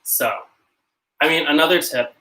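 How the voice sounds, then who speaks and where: A young man with a North American accent talks to his camera at home in a loose live-stream chat. His tone is bright and forward, and he rambles a little, sounding self-conscious and breaking into quick laughs now and then. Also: a little high, poor audio. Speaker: channel host.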